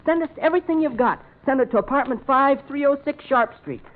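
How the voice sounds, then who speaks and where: An actress playing a blunt, plucky, wisecracking sidekick with a wry manner, in old-time radio comedy style. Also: female voice, sits high, brisk, close to an everyday voice. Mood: eager. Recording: tinny, noisy old radio recording.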